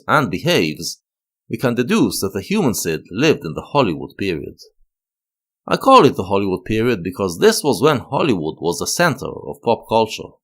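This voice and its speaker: Low-pitched man with very animated intonation. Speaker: video essayist, narrator. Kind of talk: scripted analytical narration.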